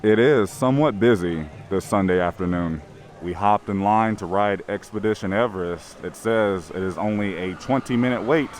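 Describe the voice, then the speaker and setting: Male vlogger, slightly raspy, chatty and upbeat, recorded outdoors at a park. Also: on the low side, moderate pace, slight background noise. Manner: enthusiastic, chatty. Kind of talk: upbeat vlog narration.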